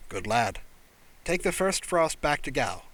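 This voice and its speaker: An older alien patriarch from a science fiction audiobook, performed by a man. He speaks with measured command. He is stern and authoritative, yet tolerant with his own. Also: on the high side, very animated, a bit bright, heavily acted. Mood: tolerant good humor.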